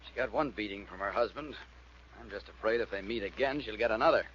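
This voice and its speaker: An actor playing an anxious, earnest doctor in a 1940s radio drama, mild and concerned in manner. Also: male voice, lowish voice, fast, a bit bright, close to an everyday voice. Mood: worried. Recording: muffled, hissy vintage radio recording.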